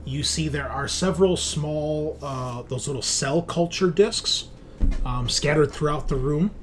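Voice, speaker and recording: A man's voice: fairly high pitch, measured pace. Man game-mastering over background noise. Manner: conversational, descriptive, self-correcting as he goes. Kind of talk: improvised narration of a tabletop sci-fi game.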